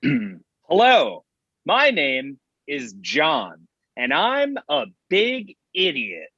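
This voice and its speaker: A man acting as a grumpy, lovelorn young man in a comedy table read, confessing earnestly and haltingly. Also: on the high side, huge pitch swings, bright, forceful tone, breathy edge, no room sound, dull audio, heavily acted. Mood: earnest, confessional.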